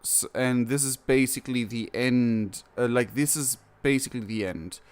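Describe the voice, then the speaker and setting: A man's voice: medium pitch, moderate pace, clean tone. A man giving casual live reaction commentary on a game, rambling and often rewording himself. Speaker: streamer reacting to game cutscenes.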